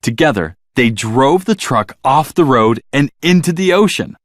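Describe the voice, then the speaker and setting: Male storybook narrator for children: dramatic, highly animated delivery at a middle pitch, recorded dry. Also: unhurried, no echo.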